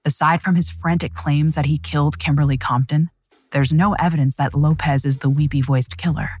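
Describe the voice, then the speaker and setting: A woman narrating a true crime story in a measured, dramatic scripted style. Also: low-pitched, fairly even intonation, a little mellow, husky, dry recording, faint music behind.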